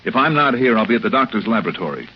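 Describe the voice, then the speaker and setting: An actor playing a terse, wry insurance investigator, clipped and businesslike, in an old radio detective drama. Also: male voice, on the low side, sing-song, rapid-fire, noisy background. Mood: commanding. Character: terse, hard-boiled male insurance investigator narrator. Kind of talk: 1950s radio detective acting. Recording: muffled old radio recording, music behind.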